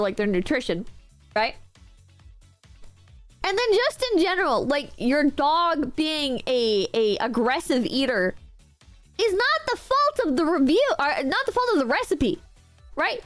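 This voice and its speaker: High, lively, stuffy-nosed woman streamer. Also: no echo. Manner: excitable, yelling and swearing in bursts. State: sore throat and a cold. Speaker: streamer reading out online reviews. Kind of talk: casual livestream chat.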